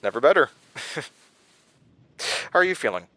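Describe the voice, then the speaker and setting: A man playing a troubled, weary, paranoid man in a horror audio drama, with halting, distracted speech. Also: fairly high pitch, very animated, bright, forceful tone, no echo. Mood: bitter. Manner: halting, distracted, tense stammer. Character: troubled, jittery male radio host, weary and paranoid.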